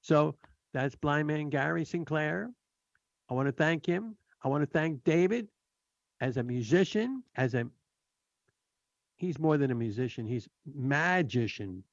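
A man with a slightly high voice hosts a radio talk show. He talks in a loose, grateful ramble full of restarts. The sound is dry and a little dull. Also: expressive, measured pace, long pauses, dry recording. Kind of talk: casual radio chat.

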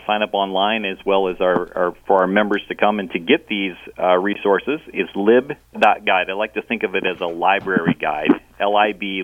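A man's voice: on the low side, no echo. A man calling into a radio show as a guest for a charity, talking hesitantly with many ums and uhs. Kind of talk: casual radio interview. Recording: tinny phone line.

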